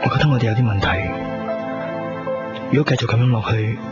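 An actor playing a weary, troubled young man in a Hong Kong Cantonese radio drama, earnest and halting. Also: mid-range voice, steady tone, lightly acted. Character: weary, troubled young man leaving his long-time girlfriend. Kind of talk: 1990s radio drama acting. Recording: muffled 1990s radio drama recording. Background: loud music.

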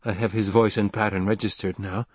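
A man's voice: fairly low pitch, flat delivery, fairly quick, husky, no echo. A man playing a proud, calculating mother in an audiobook, with controlled and formal speech. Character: composed, calculating, proud mother of a noble boy.

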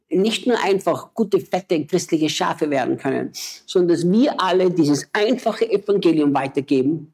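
A man's voice: sits high, vocal fry, darkish tone, husky. A man, a missionary preacher and native German speaker, talking with emotional, urgent exhortation in a conversation.

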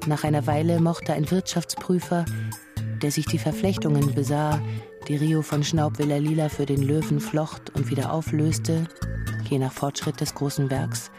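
Female narrator for literary radio play: lowish voice, composed, precise scripted storytelling, clear music bed. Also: flat delivery, moderate pace.

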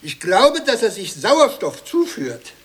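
An actor playing a ceremonious, excitable academy chairman in an absurdist radio comedy, pompous and declamatory. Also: high voice, takes it slowly, a little hiss or hum, exaggerated voice. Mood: pompous, lecturing. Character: ceremonious, excitable academy chairman presenting his eminent colleagues. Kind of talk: 1980s German absurdist radio comedy acting.

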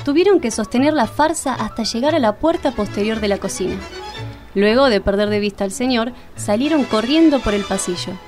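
A woman's voice: fairly high pitch, lively intonation, brisk, darkish tone. A woman reading scripted narration for a radio drama. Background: music.

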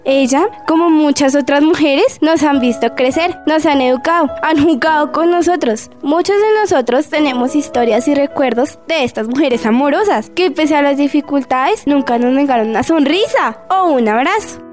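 A woman's voice: sits high, normal speed, few pauses, lightly acted. A woman narrating a scripted radio story. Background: music.

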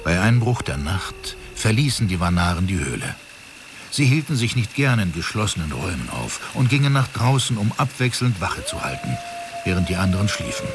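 An actor narrating a fantasy radio drama as a storyteller, with expressive, measured delivery. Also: male voice, low voice, background noise. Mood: hushed storytelling. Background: clear music.

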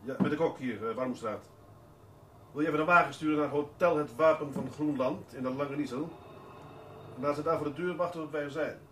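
An actor playing a calm senior police detective in a radio play, measured, authoritative and dryly courteous. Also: male voice, on the high side, a little hiss or hum, natural voice, rough recording.

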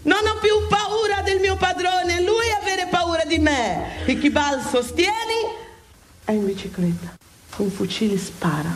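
High, preaching, scolding female God. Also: roomy sound. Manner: theatrical, preaching, emphatic. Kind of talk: satirical stage monologue, declamatory.

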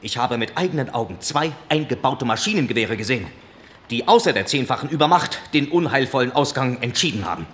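A man's voice: medium pitch, expressive, fairly quick, a bit bright, lightly acted. A male actor playing an earnest military man in a radio drama, reporting formally and insistently.

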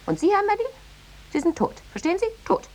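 An actress playing a brazen, self-serving newspaper reporter in a 1950s German radio satire, with an insistent, glib, theatrical manner. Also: female voice, extremely high pitch, sing-song, fast talker, darkish tone. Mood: imperious.

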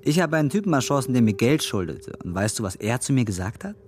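An actor playing a fanatical born-again ex-convict in a radio drama, preachy and menacing. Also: male voice, mid-range voice, sing-song, quick pace, soft background music, lightly acted. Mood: fervent.

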